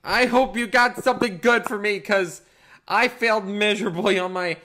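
A hoarse man chatting casually on a voice call, giggly and self-mocking. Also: high voice, steady tone, moderate pace, bright, forceful tone. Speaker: host. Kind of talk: casual voice-chat comedy.

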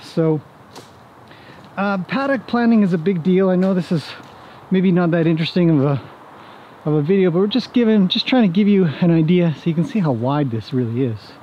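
A man's voice: high-pitched, animated, moderate pace, dull audio. A man in his forties talking casually and ramblingly to a camera as he walks outdoors, explaining things in a laid-back, self-deprecating way. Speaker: vlog host and horse paddock owner. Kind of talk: casual walk-and-talk vlog explanation. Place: outdoors on a wooded horse property. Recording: clear outdoor ambient noise.

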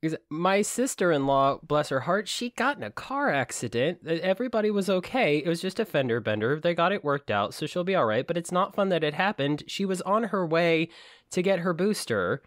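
A man's voice: on the high side, big pitch swings, brisk, no room sound, clean studio sound. A man co-hosting a book-club podcast in casual, joking chat. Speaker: podcast co-host.

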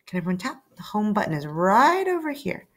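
A woman's voice: mid-range voice, big pitch swings, a little fast, fairly bright tone. A woman storyteller and teacher reading aloud to children, coaxing listeners to join in. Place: small room indoors.

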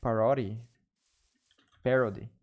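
A man's voice: medium pitch, fairly even intonation, phone-quality sound. A young man with a Brazilian Portuguese accent, talking to his live-stream chat while he plays. His English is his second language, so he often stops, repeats himself and hunts for the right word. He speaks into a desk microphone in a small room. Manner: halting non-native English, restarts and searches for words. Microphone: studio mic on arm, headphones.

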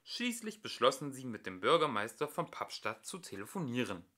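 A young man narrating a children's story as an amateur audiobook reader, with expressive delivery. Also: medium pitch, normal speed. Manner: expressive storytelling with acted voices.

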